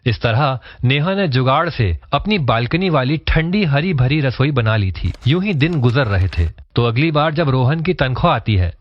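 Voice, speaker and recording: A man's voice: mid-range voice, expressive, normal speed, few pauses, no room sound. A man reading scripted narration for a cartoon story, in a dull lo-fi recording. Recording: dull lo-fi, dry sound.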